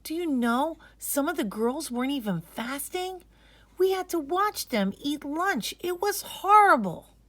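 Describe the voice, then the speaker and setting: Woman acting a high, grumpy older sister. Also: animated, measured pace, fairly bright tone, no echo. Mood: miserable complaining.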